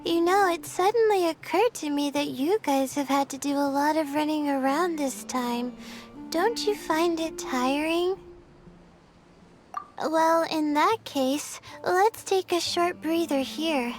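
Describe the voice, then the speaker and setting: A woman voicing a young female student astrologer in a video game, with a soft, earnest delivery. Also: high voice, takes it slowly, soft background music. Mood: sleepy.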